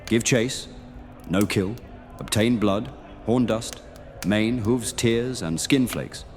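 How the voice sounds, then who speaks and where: A man playing a stern, dutiful paladin in a fantasy audio roleplay, speaking in a measured, grave manner. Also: on the low side, a little mellow, slight background noise. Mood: grave, briefing. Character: stern, dutiful male paladin of monster-hunting guild.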